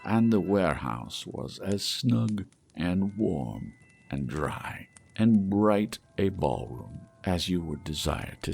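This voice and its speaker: Brief: male audiobook narrator, unhurried and lively in pitch, gravelly texture. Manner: measured, expressive storytelling. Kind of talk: storybook reading.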